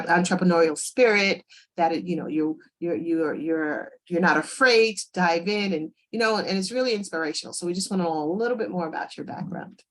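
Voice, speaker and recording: A woman hosting an interview, asking questions enthusiastically and rambling with many fillers. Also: lowish voice. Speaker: interview host.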